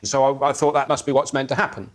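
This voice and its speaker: A man with a mid-pitched voice tells a comic anecdote as a panel show guest. His storytelling is halting, with stumbles as he sets out each step. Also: flat delivery. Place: TV studio with audience.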